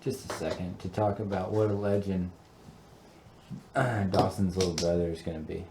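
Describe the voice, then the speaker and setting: A man's voice: deep voice, fairly even intonation, moderate pace, darkish tone. A man bantering casually with a group of friends. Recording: echoey, noisy room.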